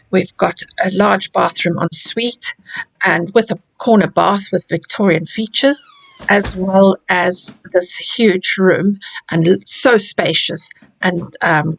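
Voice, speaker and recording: Low gravelly woman giving a tour. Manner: gentle, with small restarts and slips. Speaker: hotel tour guide. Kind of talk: guided room tour and legend narration.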